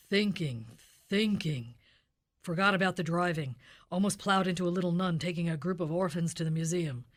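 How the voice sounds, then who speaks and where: A woman playing a deadpan, hard-boiled detective in a parody police radio drama, narrating the case in clipped sentences. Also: fairly low pitch, lightly acted.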